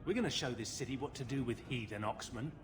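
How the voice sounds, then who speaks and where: An actor playing a drunken, boastful but cowardly lowlife in a video game, with rough, blustering street talk. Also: male voice, fast talker, background noise, natural voice. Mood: cocky, belligerent. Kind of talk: video game cutscene acting.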